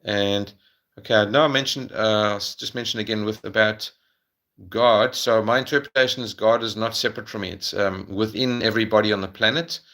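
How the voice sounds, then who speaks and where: A man's voice: lowish voice, fairly even intonation, a little fast, a bit bright. A middle-aged man with a South African accent, answering viewers' questions on a casual livestream, hesitant, with many ums and restarts.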